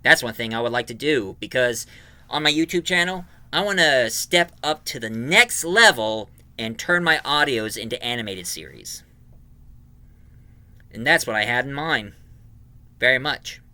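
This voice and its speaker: A man with a very bright, forceful voice and a clean, resonant tone. He hosts a casual review for his channel, rambling along with a fan's enthusiasm. The sound is dry, with little room on it. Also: medium pitch, animated, a little slow, dry recording. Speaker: YouTube reviewer and host.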